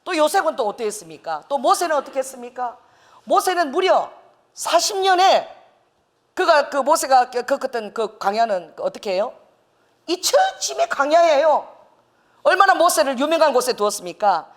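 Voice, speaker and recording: Woman pastor, expressive preacher with a swinging, lively pitch and a storyteller's laugh. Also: normal speed. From Busan, South Korea. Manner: animated storytelling, laughing often. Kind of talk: sermon.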